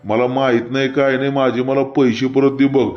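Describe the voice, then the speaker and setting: A man's voice: mid-range voice, flat delivery, moderate pace, lightly acted. A man playing a stubborn, foul-mouthed village farmer in a comic cartoon, with a rural Maharashtrian accent and a blunt, repetitive way of complaining. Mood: angry haggling. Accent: rural Maharashtrian Marathi. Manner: blunt, rustic, repetitive haggling and cursing. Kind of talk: comic cartoon phone-call sketch. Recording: dull lo-fi audio under music.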